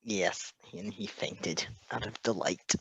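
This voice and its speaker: A woman's voice: very deep voice, slow pace, gravelly, a bit bright, breathy edge, dry recording, low-quality recording. A woman chatting casually, with laid-back, amused, brief replies. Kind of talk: casual chat, listening and reacting.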